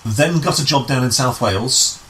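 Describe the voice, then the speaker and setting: A man with a bright, forward voice at a medium pitch talks casually as an interview guest. He often restarts phrases while explaining, and there is some noise in the recording. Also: normal speed, low-quality recording. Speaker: amateur pantomime director, interview guest. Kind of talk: casual conversational storytelling.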